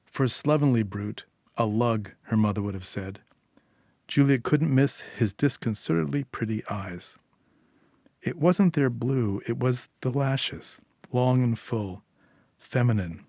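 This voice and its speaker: A man's voice: mid-range voice, measured pace, darkish tone, no echo, studio quality. A man reading a scripted short story as its narrator.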